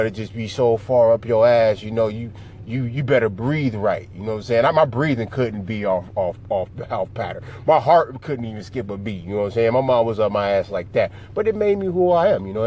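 A young man talking casually to his viewers in loose street slang, filling his talk with "you know what I'm saying" and drifting from topic to topic. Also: medium pitch. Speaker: vlogger. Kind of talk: casual rambling monologue to camera. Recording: loud traffic noise behind the voice.

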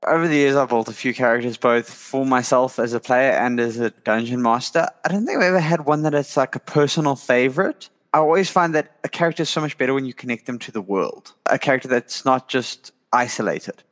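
A man from Pretoria, a player and dungeon master sharing measured advice on a panel. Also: medium pitch, a bit bright, big-room sound.

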